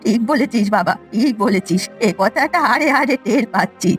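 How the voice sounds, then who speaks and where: A woman's voice: on the high side, lively intonation, a little fast, faint music behind, natural voice. A woman voicing a stingy, repentant old village granny in a cartoon story, plaintive and affectionate in manner. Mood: plaintive, sorry. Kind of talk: Bengali cartoon story voice acting.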